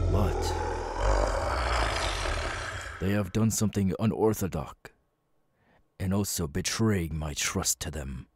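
A man voicing a menacing, imperious demon lord, cold and cruel, with a husky, breathy voice, in an ASMR audio roleplay. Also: a bass voice, heavy background noise, exaggerated voice. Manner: slow, deliberate, commanding, threatening.

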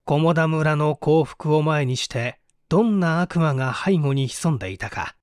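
Male audiobook narrator, higher-set voice, very animated intonation, dramatic mystery reading. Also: a little slow, smooth, no room sound, studio quality. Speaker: audiobook narrator, stage actor.

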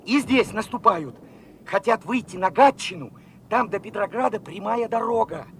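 A very high, bright voice as a young revolutionary soldier at the front. He is fervent and eager, speaking in plain folksy words and firing off questions, in the manner of Soviet radio drama. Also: moderate pace, a little hiss or hum. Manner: excited, colloquial, eager questioning. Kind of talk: Soviet radio play acting.

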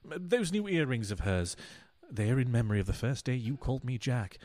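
A man's voice: mid-range voice, lively intonation, a little fast, darkish tone, lightly acted. A man playing a pompous, self-pitying philandering husband in a radio play, speaking floridly. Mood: lovelorn, self-pitying. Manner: earnest, florid, grandiose. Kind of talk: stage-style radio play acting.

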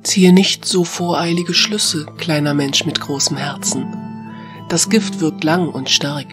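A woman voicing a wise, self-assured alpha female of talking forest beasts in a fantasy audio drama, with a deep, feminine voice and a calm, gently admonishing manner. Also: measured pace, over music.

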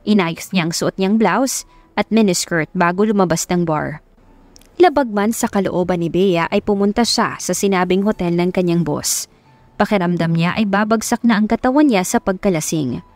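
A woman's voice: on the low side, moderate pace, a little mellow, no echo. A woman with a Filipino accent narrating a scripted romance story as an audiobook narrator.

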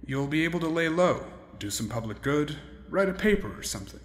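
An actor playing an easygoing, persuasive man recruiting for a job, smooth-talking, in an audio drama. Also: a little high, lively intonation, fairly quick, close to an everyday voice. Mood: coaxing. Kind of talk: naturalistic audio drama acting. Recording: roomy echo, faint noise.

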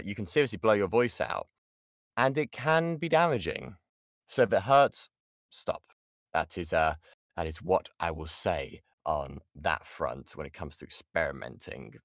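A British man with a fairly low voice in a dry, close-sounding recording. He teaches informally like a workshop host, chatting along, interrupting himself and repeating points to stress them. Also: dry recording. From United Kingdom. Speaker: voice actor giving a workshop. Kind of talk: informal online lesson with audience questions. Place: indoors, online voice chat.

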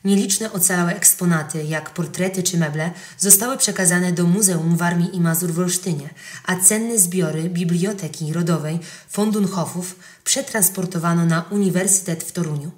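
A woman's voice: fairly low pitch, normal speed. A woman reading a scripted history podcast narration. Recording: roomy, echoing voice recording.

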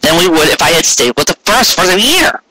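A man's voice: a little high, very animated, a little fast, bright, forceful tone, no room sound, pitched above the performer's own voice, full character voice, poor audio. A man voicing an earnest, anxious male cartoon pony husband, hesitant and eager to explain, in a story reading. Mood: proud, eager.

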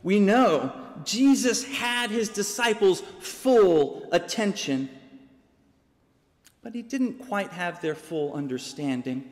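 Slow, deliberate man preaching in a church. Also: sits high. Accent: American. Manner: measured, deliberate delivery with dramatic pauses. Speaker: pastor. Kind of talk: sermon. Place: large church sanctuary, preaching from a pulpit. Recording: long church reverb.

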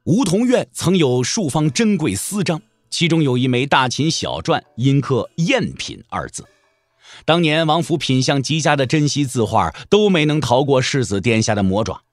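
A man narrating a Chinese martial arts audio drama as an expressive storyteller, wry and colloquial in his delivery. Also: mid-range voice, normal speed, dry recording, soft background music.

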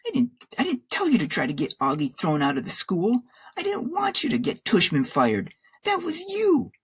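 A high, husky-edged schoolboy played by a man reading a storybook, self-pitying and defiant. He whines and protests indignantly, with big swings in pitch. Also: very animated, a little slow, darkish tone, heavily acted. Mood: angry defiance. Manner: whining, indignant outbursts. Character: whiny defiant school-age boy, privileged and self-pitying.

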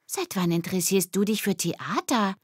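A woman voicing spirited schoolgirls from a children's audiobook, animated and teasing. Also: medium pitch, moderate pace, husky, no echo, clean studio sound, voice pushed up. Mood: suspicious, teasing. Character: spirited, cheeky schoolgirls in a gang.

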